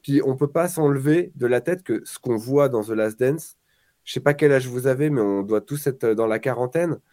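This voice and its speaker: A man's voice: medium pitch, moderate pace. A man in his forties, a guest on a casual podcast chat, telling stories with energy and acting out quotes. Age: 40s. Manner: animated storyteller, acts out quotes.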